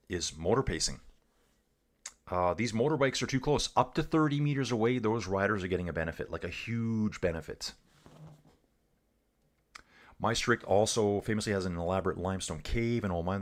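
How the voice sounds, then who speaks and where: A chatty man with a lowish voice runs a livestream race commentary. He reads viewer messages aloud and riffs casually, calling everyone guys and dude. His tone is loose and conversational throughout. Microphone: earbuds.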